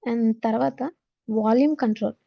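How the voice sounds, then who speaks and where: A woman's voice: a little high, normal speed, vocal fry, highs cut off. A woman with a Telugu accent teaching in a lecture style, mixing English terms and read-out notes into her explanations. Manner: code-switches between Telugu and English, instructional.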